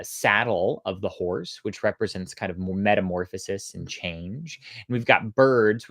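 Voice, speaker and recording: A storytelling show host explaining and narrating in a conversational, expressive, thoughtful manner. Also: sits low, dry recording, studio quality.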